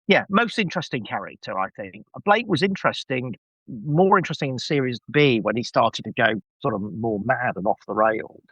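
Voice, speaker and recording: A man's voice: medium pitch, animated, fairly quick, fairly bright tone, no room sound, low-quality recording. A man talking as a podcast guest in casual discussion, enthusiastic and emphatic with a wry edge.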